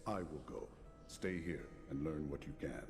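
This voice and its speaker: An actor playing a stern, resolute warrior hero in a fantasy video game, terse and formal in manner. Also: male voice, very deep voice, animated, normal speed, creaky, full character voice. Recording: music behind the voice.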